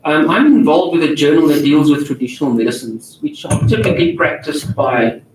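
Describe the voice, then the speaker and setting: Non-native English-speaking male editor, candid panel talk, slightly high, echoey hall. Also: lively intonation, brisk, a little mellow. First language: not English. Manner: candid, self-deprecating, moderating questions. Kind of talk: panel discussion answering audience questions. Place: large auditorium. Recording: echoey auditorium, distant mic, faint noise.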